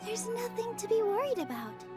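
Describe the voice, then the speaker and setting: Encouraging young game heroine, very high voice, gentle, earnest, music behind. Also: female voice, sing-song, normal speed. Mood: reassuring. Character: sweet, encouraging, gentle young female theater dancer. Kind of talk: animated video game dialogue.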